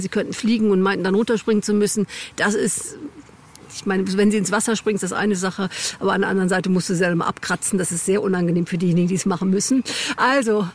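Female guide, mid-range voice, candid and explanatory, informal interview delivery. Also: mid-range voice. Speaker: local guide being interviewed. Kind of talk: informal spoken explanation of local history and legends.

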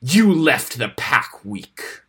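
A man's voice: mid-range voice, sing-song, extremely slow, sharp tone, husky, exaggerated voice. A man voicing a huge, vengeful wolf leader in a storybook, with a hard, harsh voice and contemptuous, venomous delivery. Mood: venomous rage.

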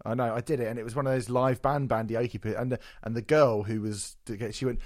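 A man hosting a casual music podcast, jokey, sweary and self-deprecating, his voice a little hoarse from a sore throat. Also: mid-range voice, steady tone, quick pace, darkish tone, no echo, clean studio sound. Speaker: podcast host.

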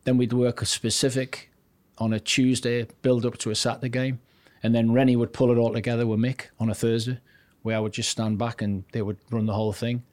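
A man's voice: mid-range voice, flat delivery, brisk, hardly any pauses, a little mellow, no echo. An older man from north-east England, a podcast guest telling long, rambling stories about his coaching days in a chatty interview style. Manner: chatty, rambling, restarts sentences, acts out quotes. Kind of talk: casual anecdotal storytelling.

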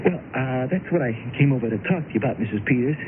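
An actor playing an earnest, lovestruck young man in a radio drama, hesitant, stammering and excitable. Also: mid-range voice, very fast, noisy background, close to an everyday voice. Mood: polite, hesitant. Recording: tinny radio broadcast recording.

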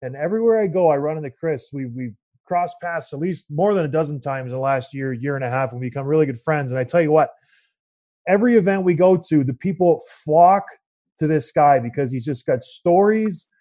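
A man's voice: on the high side, expressive, a little fast, warm tone, no echo. A man hosting a podcast, chatty and upbeat, often laughing with his guest. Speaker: podcast host. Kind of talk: casual podcast conversation. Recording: dry, slightly dull sound.

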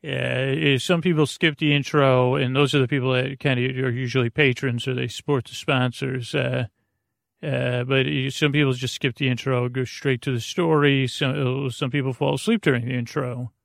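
An American man with a gravelly, creaking voice at a middling pitch talks as the host of a sleep podcast. He meanders and halts, drifting off track in a soothing monologue. The recording is dry, with no room sound around him. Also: steady tone, dry recording. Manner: meandering, halting, easily sidetracked.

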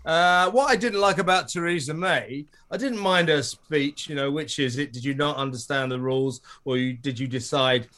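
High-voiced man in opinionated political chat. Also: normal speed, few pauses, bright, forceful tone. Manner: scornful, emphatic, hyperbolic. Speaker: guest commentator.

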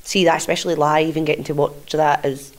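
Low-voiced Glaswegian woman, chatty. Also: flat delivery, brisk. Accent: Scottish, Glasgow. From Scotland. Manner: chatty, filler-heavy, leans on "like". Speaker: guest wrestler. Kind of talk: casual interview chat.

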